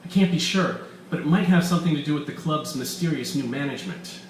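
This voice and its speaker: A man voicing a forceful, indignant female professor in a story reading, stern and formal, in an echoey room. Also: fairly high pitch, quick pace, pitched above the performer's own voice, natural voice. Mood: urgent. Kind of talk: live short-story reading, character dialogue. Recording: echoey room, distant mic, background noise.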